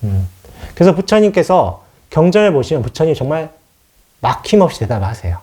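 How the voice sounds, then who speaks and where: A man's voice: a little high, big pitch swings, a little fast, mellow. A man who is a Buddhist monk giving an informal dharma lecture on scripture, explaining as he goes and often answering his own questions. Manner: explanatory, poses questions and answers them himself.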